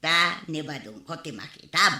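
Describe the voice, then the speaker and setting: A woman with an Ilocano accent playing a scolding, hardworking, exasperated village wife, shrill and nagging, in a radio comedy drama. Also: expressive, normal speed, bright, forceful tone, reverberant, heavily acted. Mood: bossy, nosy.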